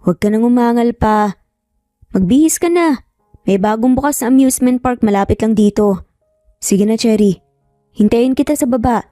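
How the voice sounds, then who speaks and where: A woman's voice: on the high side, normal speed, pauses often, mellow, no room sound, studio quality, close to an everyday voice. A Filipino woman voicing a bossy, playful young man in love, in a teasing, commanding way. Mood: playfully bossy. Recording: music behind the voice.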